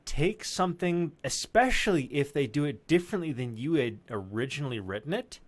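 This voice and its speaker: A man who writes and voices audio drama, chatting casually in an animated, joking, sweary way on a video call. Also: a little high, brisk, no room sound. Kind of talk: casual chat.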